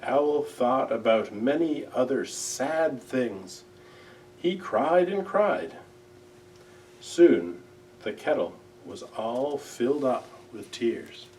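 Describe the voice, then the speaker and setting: Slow, expressive male storyteller, slightly distant. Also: mid-range voice, a little hiss or hum. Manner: gentle, measured, expressive storytelling. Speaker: library director reading aloud. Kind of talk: children's storybook narration.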